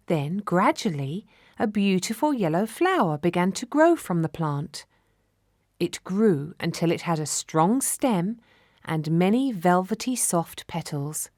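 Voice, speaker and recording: A woman's voice: lowish voice, darkish tone, no echo. Woman storyteller, dry close sound. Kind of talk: scripted children's fairy tale narration.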